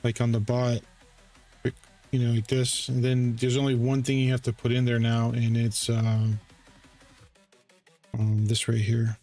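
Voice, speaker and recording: A man hosting a casual screen-share tutorial, explaining settings in a halting way with frequent self-corrections. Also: medium pitch, monotone, mellow. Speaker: trading bot developer and livestream host. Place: live voice call on a screen-share stream. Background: music.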